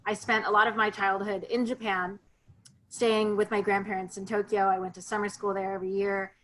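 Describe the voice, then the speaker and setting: Woman on a video call, chatty, lots of ums, mid pitch, 30s or 40s. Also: little pitch movement, a little fast, fairly bright tone, rough recording. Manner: chatty, frequent ums and restarts. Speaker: sake expert guest. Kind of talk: casual virtual tasting talk.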